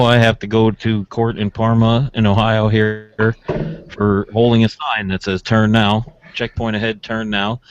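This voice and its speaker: An American man hosting a radio show in casual chat, rambling informally through his stories. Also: lowish voice. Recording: dull, lo-fi audio.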